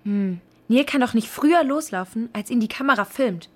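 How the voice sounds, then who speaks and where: A woman playing a sceptical, sharp-minded girl detective in a children's audio drama, questioning and doubting. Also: fairly high pitch, animated, moderate pace, lightly acted.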